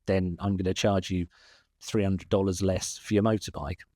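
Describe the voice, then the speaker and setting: A fairly low-voiced man with a British accent talks through his answers in a loose, meandering way, poking fun at himself and laughing along. The sound is dry and close, with almost no room around it. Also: little pitch movement, normal speed, warm tone, no echo. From England. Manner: rambling, self-deprecating, laughs often. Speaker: guest, SEO consultant. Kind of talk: conversational interview answers.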